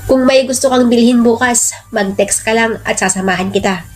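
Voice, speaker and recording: A woman's voice: on the high side, normal speed, soft background music, lightly acted. A woman voicing a kindly, helpful young husband in a radio drama, in an earnest and friendly manner.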